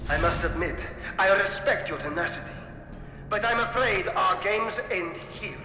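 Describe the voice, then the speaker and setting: An actor playing a coolly formal, arrogant villain in a video game cutscene. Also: male voice, high-pitched, moderate pace, lightly acted. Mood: smug, menacing. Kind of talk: dramatic game villain acting. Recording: echoey hall with long reverb.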